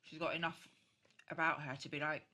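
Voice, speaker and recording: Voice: medium pitch, little pitch movement, a little fast, sharp tone. A speaker chatting casually on a podcast, emphatic and given to acting out quotes mid-sentence. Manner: emphatic, acts out quotes while talking.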